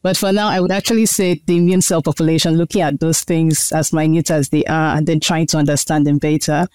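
A woman in her thirties answers interview questions about her work. Her voice is smooth and resonant, with a dry, close sound. She talks in an earnest, explanatory way, thinking things through as she goes. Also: low voice, steady tone, fairly quick, darkish tone, no echo. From Nigeria. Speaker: guest researcher.

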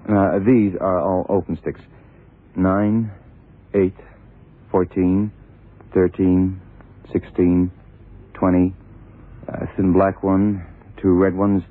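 An actor playing an obliging, slightly slow police sidekick in an old radio drama, casual and questioning. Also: male voice, low voice, level tone. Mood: puzzled, obliging. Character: obliging male police sidekick, eager but slower-witted. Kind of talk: 1960s-70s radio drama acting. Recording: tinny, narrow old radio recording.

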